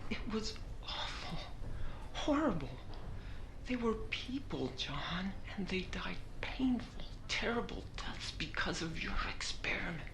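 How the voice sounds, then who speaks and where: An actress playing an anguished, doting mother, hesitant and sorrowful as she pleads for her condemned son. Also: fairly low pitch. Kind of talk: film drama acting. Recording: noisy room ambience.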